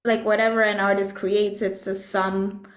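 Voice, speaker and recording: A woman from Puerto Rico talks in a reflective interview, with a mid-range voice. She thinks as she goes, pausing and searching for the right phrase. The room around her adds a clear echo. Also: medium pitch, little pitch movement. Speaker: interview guest, composer and musician. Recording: echoey room sound.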